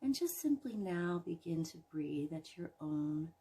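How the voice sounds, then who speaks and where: A woman leading a guided imagery relaxation in a soothing, gentle manner, leaving long pauses between phrases. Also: lowish voice, lively intonation, breathy edge. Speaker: guided meditation host.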